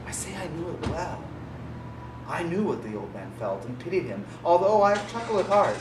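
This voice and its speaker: An obsessive, nervous madman in a gothic horror monologue. The voice sits fairly high for a male and is delivered with stagey intensity. It is caught from a distance in a roomy space with clear background noise. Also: very animated, normal speed. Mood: brooding, hushed. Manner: theatrical, swinging from hushed to loud. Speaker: dramatic reader. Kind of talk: theatrical dramatic reading of gothic horror.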